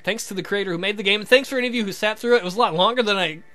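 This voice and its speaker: A man's voice: sits high, rapid-fire, sharp tone, soft background music. A man hosting a let's play with casual, joking, sweary commentary. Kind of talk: casual game playthrough commentary and narration.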